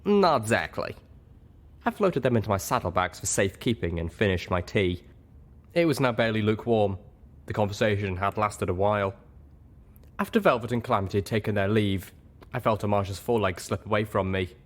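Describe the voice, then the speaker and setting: A man narrating a first-person story in an expressive audiobook reading style. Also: on the low side, fairly quick.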